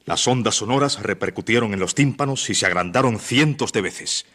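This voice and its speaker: A man's voice: fairly low pitch, brisk, bright, forceful tone. A man narrating a suspense radio play in a dramatic, scripted style.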